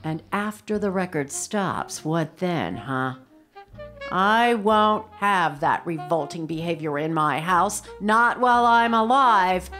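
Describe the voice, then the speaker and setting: A woman's voice: on the low side, lively intonation, slow, music in the background. An actress playing a demanding, melodramatic, complaining middle-aged mother in a radio drama, nagging and querulous. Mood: bitter, accusing. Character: demanding, complaining, possessive mother of a grown son.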